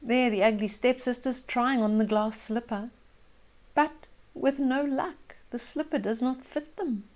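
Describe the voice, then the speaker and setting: An older woman reading a fairytale aloud to children in an expressive way, with simple explaining asides to young listeners. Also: on the high side, measured pace. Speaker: children's storyteller, host.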